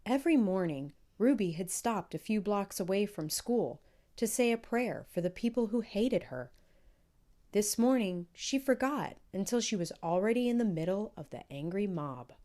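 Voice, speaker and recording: A woman reading a children's book aloud in measured, clearly phrased storytelling narration. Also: mid-range voice, warm tone. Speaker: storybook reader.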